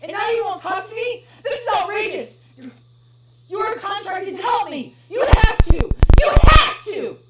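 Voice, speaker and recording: A woman voicing a furious male customer on a support call, ranting in a shrill shout. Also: lively intonation, a little slow, distant mic. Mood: screaming. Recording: echoey room with noisy hum.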